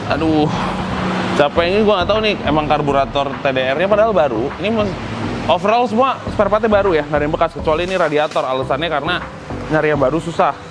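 A man's voice: on the high side, quick pace, background noise. A young man chatting casually as a vlog host, slangy and grumbling in an exasperated way. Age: young adult. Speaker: motovlog host. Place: open concrete garage forecourt by a workshop.

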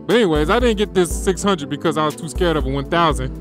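A man talking casually to viewers while riding a motorcycle on country roads, relaxed and slangy, through a helmet mic. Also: a little high, fast talker, music in the background. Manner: laid-back, slangy, addresses viewers directly. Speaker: motovlogger.

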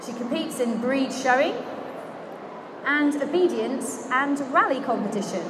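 A woman working as ring announcer in a large indoor exhibition hall, calling out names slowly and clearly over a public-address system. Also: high voice, expressive. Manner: slow, declamatory announcing of names. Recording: crowd and hall noise.

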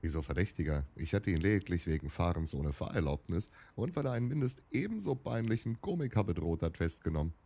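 An absent-minded male police inspector, played by an actor with a very low, dark voice. His delivery swings up and down in a spoof radio play. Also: animated, fast talker, few pauses. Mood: distracted. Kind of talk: German audio drama parody acting.

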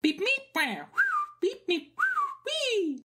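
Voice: extremely high pitch, wildly swooping pitch, drawn-out words, pushed and bright, dry recording, heavily acted. An actor voicing a small chirping droid in a dramatic reading, speaking in beeps and whistles. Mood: excited. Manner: nonverbal beeps voiced by mouth in spoken syllables.

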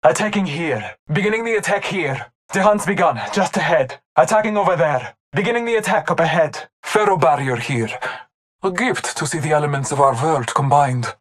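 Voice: mid-range voice, a little hiss or hum, lightly acted. An actor voicing a solemn, honorable hunter devoted to Norse gods in a video game, speaking in formal, ceremonious phrases. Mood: focused, commanding, then alert, matter-of-fact. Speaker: playable game character. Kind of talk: video game voice lines, short barks and proclamations.

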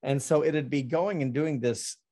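Halting middle-aged man on a video call. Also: fairly high pitch, mellow. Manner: halting, many ums and restarts. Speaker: artist presenting his work. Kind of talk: casual talk over a slide presentation.

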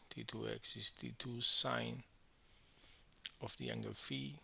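A man teaching physics, explaining a worked problem step by step in halting phrases with frequent verbal checks. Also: medium pitch, vocal fry, bright, forceful tone, breathy edge.

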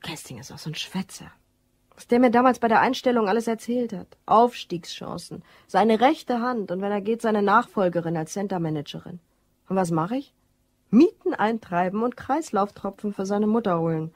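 A woman acts out a disgruntled office assistant in a crime radio play. The voice is husky and of average pitch. Her delivery is dry and sardonic. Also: expressive, moderate pace, lightly acted. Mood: bitter, dry. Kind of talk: German crime radio drama acting.

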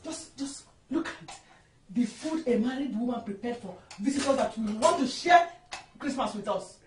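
A woman's voice: a little high, expressive, moderate pace, reverberant, a little hiss or hum, natural voice, poor audio. A woman playing an easily angered older mother-in-law in a film drama, with a Nigerian accent and a sarcastic, accusing manner. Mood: bitter, disapproving. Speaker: actress.

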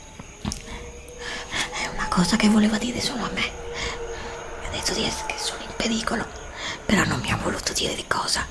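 A middle-aged woman playing a frightened young woman lost in a dark forest in an ASMR roleplay, pressing her companion with anxious, pleading whispers. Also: medium pitch, expressive, normal speed, pauses often, close to an everyday voice. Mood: fearful. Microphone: desk condenser mic close up.

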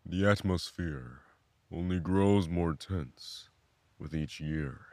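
A man playing a calm, grave, philosophical mentor in an audio drama, speaking in a measured, contemplative way. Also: deep voice, no room sound.

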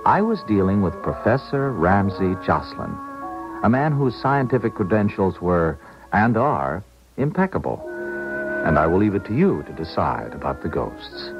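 An actor playing a sober, skeptical university proctor in a 1970s radio drama, measured and matter-of-fact. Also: male voice, sits low, very animated, over music. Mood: sober, emphatic. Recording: muffled 1970s radio recording.

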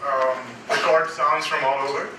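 A man's voice: a little high, little pitch movement, moderate pace, sharp tone. A man whose first language is Urdu, speaking casually and hesitantly on a festival panel in a large hall. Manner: loose, hesitant, many fillers. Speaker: panelist musician. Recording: echoey hall from far off, noisy.

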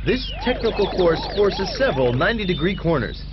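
A man playing an excited, showy race announcer in an arcade racing game, drawing out names for emphasis. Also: high-pitched, fairly quick, background noise. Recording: muffled lo-fi game audio under loud music. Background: loud game music.

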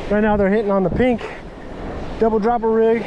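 A man talking casually to viewers in an excitable, folksy, slangy way, like a fishing vlogger, recorded outdoors on an action camera. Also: high-pitched, brisk, muffled recording. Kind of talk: casual fishing vlog commentary. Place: outdoors on an open sea beach. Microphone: body-worn action camera. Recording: windy beach, surf and wind noise. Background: wind and breaking waves.

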